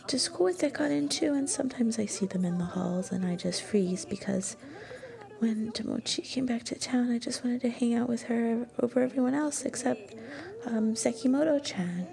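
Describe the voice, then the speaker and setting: A woman playing a shy, sweet young student girlfriend in an ASMR roleplay, speaking softly and breathily with gentle hesitation, often slipping into a whisper. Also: fairly high pitch, normal speed, creaky. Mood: soft, confiding.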